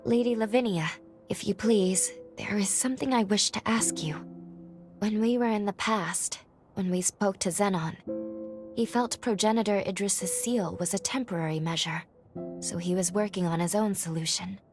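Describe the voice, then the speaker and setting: Actress as earnest young companion, roomy sound. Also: female voice, mid-range voice, recorded from a distance, over music, close to an everyday voice. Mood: uneasy, questioning. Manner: measured, formal, sincere. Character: earnest, dutiful young female companion, polite and questioning. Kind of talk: video game cutscene acting.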